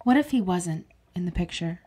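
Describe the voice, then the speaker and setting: An actress playing a gentle, seductive, quietly scheming woman in an intimate audio drama. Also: on the low side, lively intonation, moderate pace, slightly rough. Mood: persuasive, scheming. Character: soft-spoken, seductive, scheming captive woman. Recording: faint background ambience.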